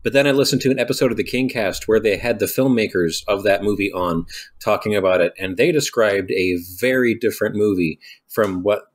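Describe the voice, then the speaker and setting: A man's voice: lowish voice, a little fast. A man co-hosting a podcast in casual book-discussion chat, wry and joking, laughing often. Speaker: podcast co-host.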